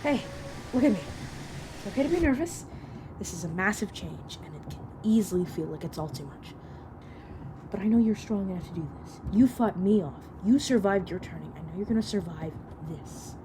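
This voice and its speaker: A woman's voice: medium pitch, expressive, fairly quick, background noise, lightly acted. A woman playing a sassy, protective escort to a new vampire in an audio roleplay, teasing and caring in manner. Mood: gentle, reassuring. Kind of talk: narrative audio roleplay acting.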